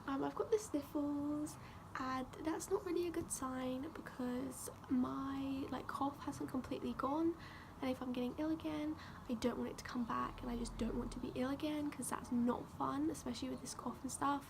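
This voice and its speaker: A teenage girl chatting casually to camera for her vlog, voice croaky from a sore throat, full of "like" and "um". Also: sits high, fairly even intonation, moderate pace, background noise. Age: teens. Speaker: vlogger.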